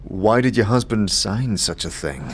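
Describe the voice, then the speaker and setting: A man voicing a gentle, weary wanderer in an audio drama, hesitant and soft-spoken as he offers help. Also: lowish voice, lively intonation, measured pace, slightly rough, heavily acted. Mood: probing.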